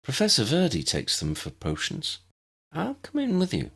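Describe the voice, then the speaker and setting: A confident teenage girl prefect, put on by a male storyteller, friendly and chatty. The voice is lifted well above his own and very lively, with a husky edge. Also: a little high, normal speed, pitched above the performer's own voice, full character voice. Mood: cheerful. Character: cheerful, confident teenage schoolgirl prefect showing a newcomer around.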